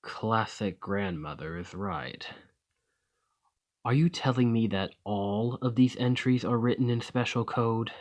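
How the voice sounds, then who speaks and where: A man playing a desperate, weary immortal gentleman vampire in an ASMR roleplay, speaking formally and courteously in a breathy, husky voice that often drops to a whisper. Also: lowish voice, a little slow, lightly acted. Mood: focused. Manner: formal, courtly, old-fashioned phrasing.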